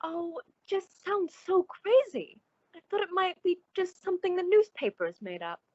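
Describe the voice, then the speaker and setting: A woman's voice: extremely high pitch, big pitch swings, moderate pace, a little mellow, no echo, dull audio. A woman playing a genteel, uneasy, concerned friend in an old-time radio suspense drama, speaking politely and hesitantly with theatrical expression. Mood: uneasy curiosity.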